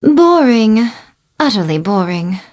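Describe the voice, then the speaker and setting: A woman's voice: on the high side, big pitch swings, takes it slowly, a little mellow. A woman voicing a sly, elegant fox spirit shrine maiden in a video game, with a playful, languid, haughty manner. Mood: bored, teasing. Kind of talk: scripted game character voice lines.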